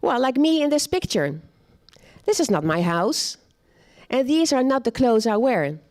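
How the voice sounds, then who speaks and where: A woman presenting a live seminar talk on culture, speaking in a measured, plain way and checking in with her audience. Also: fairly high pitch, sing-song, a little mellow.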